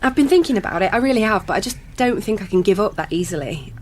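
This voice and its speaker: A woman's voice: medium pitch, animated, quick pace, a little mellow, a little hiss or hum, close to an everyday voice. An actress playing a candid, kindly, slightly flustered young British woman in a radio sitcom, rambling and wry. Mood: tender, resigned. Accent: British English.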